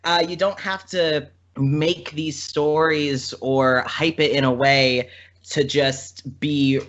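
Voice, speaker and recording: A man's voice: a little high, steady tone, slow pace, a bit bright. A man talking casually as a podcast guest, in an informal, chatty, rambling way. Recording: slightly dull, lo-fi audio.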